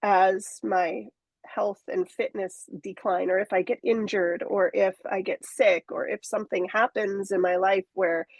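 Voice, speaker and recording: Woman, forties, podcast guest: halting, reflective delivery, close dry recording. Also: mid-range voice, steady tone, no room sound. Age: 40s. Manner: reflective, halting, many fillers and restarts. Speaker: coaching client. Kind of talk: candid conversational interview.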